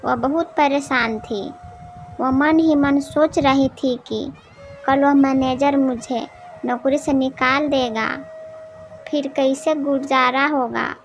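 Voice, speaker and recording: A woman's voice: sits high, steady tone, takes it slowly, slight background noise. A woman narrating a scripted cartoon moral story as a storyteller.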